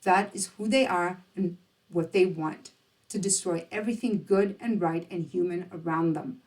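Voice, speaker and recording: A woman's voice: fairly low pitch, moderate pace, a little mellow, far from the mic, low-quality recording. A woman delivering scripted opinion commentary in an emphatic, sardonic, rhetorical manner. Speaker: presenter, commentator.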